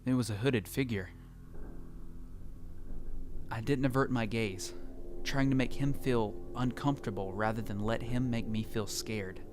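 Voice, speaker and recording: A man's voice: mid-range voice, unhurried, long pauses, music in the background, close to an everyday voice. A man playing a lonely, reflective survivor who narrates his post-apocalyptic journey in a scripted audio drama. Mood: reflective, uneasy.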